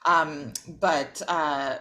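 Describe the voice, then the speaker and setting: A woman in her thirties with a notably low voice, speaking as a guest author from home over a video call. She answers in a thoughtful, searching way, pausing on ums and breaking into light laughter. She grew up partly in Israel. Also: fairly even intonation, pushed and bright. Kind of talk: casual interview.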